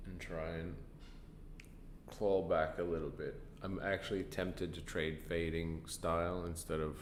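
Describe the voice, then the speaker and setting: A very low-voiced man with a stuffy, congested nose, tired from little sleep. He talks slowly and hesitantly, thinking aloud as he hosts a live stream, with faint room noise behind him. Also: level tone. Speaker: trading stream host. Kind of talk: casual live stream chat.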